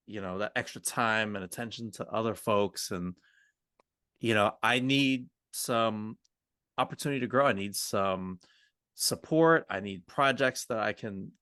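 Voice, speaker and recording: A man's voice: lowish voice, pushed and bright, clear, resonant tone, dry recording, studio quality. Man playing a frustrated, insistent male employee. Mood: frustrated, pleading. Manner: complaining, halting, insistent. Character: frustrated male employee demanding support from his boss. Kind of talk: workplace roleplay dialogue.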